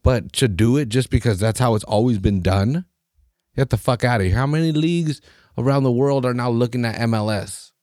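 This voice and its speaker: A man from Mexico, hosting a podcast in a profane, animated rant full of mocking voices. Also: medium pitch, a little fast, dry recording, clean studio sound. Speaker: podcast host. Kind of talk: casual opinionated rant.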